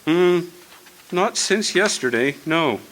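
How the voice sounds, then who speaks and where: A man's voice: a little high, expressive, slow, a bit bright. A man acting in a radio drama as a friendly, nosy small-town storekeeper with a homespun, rustic way of talking. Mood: folksy, curious.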